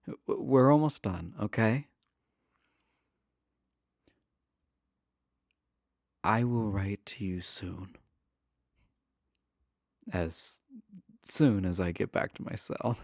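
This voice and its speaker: A man acts out an adorkable jailed villain in an intimate romance audio roleplay. His low voice has a gravelly creak and moves slowly, with nervous, halting stammers and an earnest, smitten tone. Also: a little mellow, no echo, studio quality. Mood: shy, hopeful. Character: shy, adorkable imprisoned male villain, smitten with pen pal.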